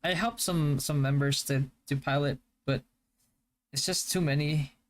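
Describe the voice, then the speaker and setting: A young man from the Philippines whose first language is Hiligaynon, chatting casually on a live stream and answering viewer questions in a relaxed, stop-start way. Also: on the high side, unhurried. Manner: relaxed, stop-start, with frequent fillers and restarts. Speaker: game streamer.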